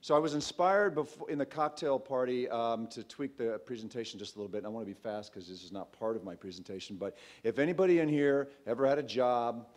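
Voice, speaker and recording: A man around forty giving an informal startup pitch into a stage microphone, conversational and emphatic, speaking directly to his audience. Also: quick pace, hardly any pauses. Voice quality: loud voice. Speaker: startup CEO and co-founder presenting. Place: auditorium stage. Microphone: microphone on a lectern stand.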